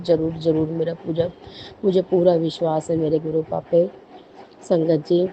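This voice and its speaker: Hoarse low-voiced woman giving devout thanks. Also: lo-fi sound, a little hiss or hum. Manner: earnest, halting, repeats thanks and apologies. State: hoarse from a cold and cough. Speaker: devotee sharing a testimony. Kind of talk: devotional personal testimony.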